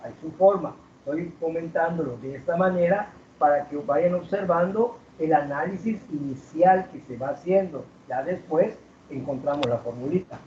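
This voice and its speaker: A man teaching math to a class, asking questions and drawing out key words slowly. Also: on the high side, a little hiss or hum. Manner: asks students questions, drags out key words. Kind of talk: classroom lecture. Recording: roomy, distant, dull classroom recording.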